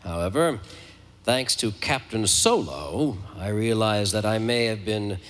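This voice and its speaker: Resistance leader: lowish voice, stately, earnest, eloquent delivery for audio drama. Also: male voice, lively intonation, a little slow, a little hiss or hum. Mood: solemn, sincere. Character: formal, earnest male resistance leader giving a message. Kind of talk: live audio drama acting.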